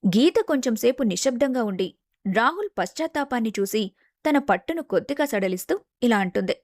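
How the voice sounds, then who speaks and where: Woman narrator, slightly high pitch, dry close recording, scripted family storytelling. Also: expressive, brisk, a little mellow, dry recording.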